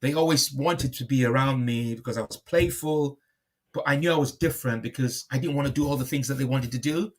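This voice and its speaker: A man's voice: mid-range voice, fairly quick. A man speaking reflectively in a conversational interview as a guest, often repeating and rephrasing his phrases. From born in Liverpool, moved to Nigeria at five.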